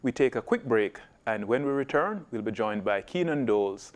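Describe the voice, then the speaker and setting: A man's voice: medium pitch, lively intonation, normal speed. A man hosting a sports interview show, asking questions in a genial way and using local sayings. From Jamaica.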